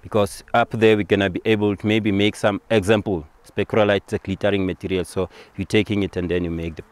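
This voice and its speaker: A man's voice: lowish voice, little pitch movement, quick pace, no room sound. A man with a Southern African accent giving an informal guided tour, explaining things and repeating phrases as he goes.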